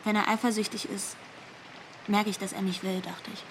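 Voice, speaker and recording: A woman's voice: medium pitch, level tone, moderate pace, background noise, lightly acted. An actress playing a wounded, scornful teenage ex-girlfriend with a frank, cutting manner in a naturalistic radio drama. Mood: hurt. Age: young adult.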